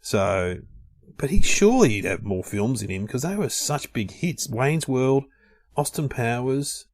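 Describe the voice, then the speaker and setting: A man's voice: medium pitch, expressive, measured pace, no room sound. A man in his fifties co-hosting a podcast, chatting casually in an easygoing, musing way. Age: 50s. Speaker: podcast co-host. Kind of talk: casual chat.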